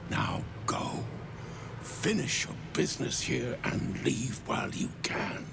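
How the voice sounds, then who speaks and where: An actor playing a weary, grave, long-suffering spirit who speaks solemnly and haltingly in a video game cutscene. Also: male voice, mid-range voice, very animated, creaky, full character voice. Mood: solemn, grateful. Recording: noisy ambience behind voice.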